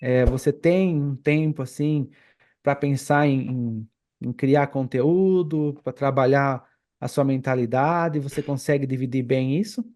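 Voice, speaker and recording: A man's voice: medium pitch, unhurried, a little mellow. A Brazilian man hosting a podcast, asking questions in a casual, conversational style. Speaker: podcast host. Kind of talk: casual interview chat.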